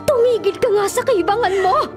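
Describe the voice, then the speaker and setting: A woman's voice: very high-pitched, moderate pace. A woman playing a stern middle-aged mother, reproachful and admonishing in a radio melodrama. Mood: scolding, exasperated. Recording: dramatic music under the voice.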